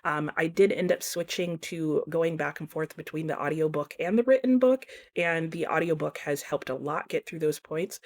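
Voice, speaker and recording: Lower-voiced woman chatting about books. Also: moderate pace, few pauses, a little mellow. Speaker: book reviewer vlogger. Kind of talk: casual chatty book review to camera.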